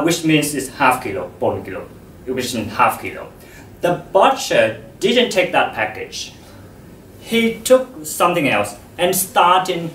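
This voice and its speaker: A man giving a slow, deliberate public talk to an audience, pausing often, his first language Vietnamese. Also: on the high side, a bit bright, a little hiss or hum. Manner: slow and deliberate, with frequent pauses. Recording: roomy hall, voice from far off.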